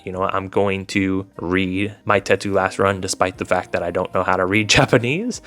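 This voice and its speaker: Low-voiced man in casual rambling commentary. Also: pushed and bright. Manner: rambling, hedging, informal. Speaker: video host reviewing visual novels. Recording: voice over background music. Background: music.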